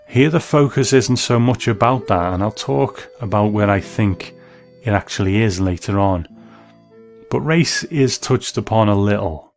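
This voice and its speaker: A man's voice: lowish voice, measured pace. A man hosting a review show, giving reflective commentary. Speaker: host. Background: faint music.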